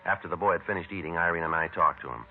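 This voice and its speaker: A terse police detective voiced by a man lays out the facts in a very low, clipped, matter-of-fact voice and moves briskly through the case. It comes through thin and phone-like, as in an old radio crime broadcast. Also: a little hiss or hum, lightly acted. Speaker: detective and narrator. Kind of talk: 1950s radio crime drama. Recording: tinny vintage radio broadcast.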